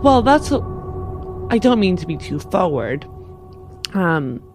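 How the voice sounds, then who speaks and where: A woman's voice: on the low side, big pitch swings, takes it slowly, darkish tone, faint music behind. A woman playing a tabletop role-playing game, chatting casually and thinking aloud with some hesitation. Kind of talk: casual improvised game chat.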